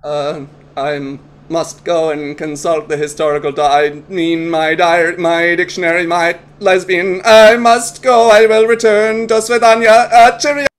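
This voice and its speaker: A man's voice: high voice, flat delivery, unhurried, hardly any pauses. A man playing an eager, naive alien posing as a human in a comedy sketch, pompous and over-formal, often muddling his words. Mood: awkward, formal. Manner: stiff over-formal wording, muddles words and corrects self. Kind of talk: comedy audio sketch acting.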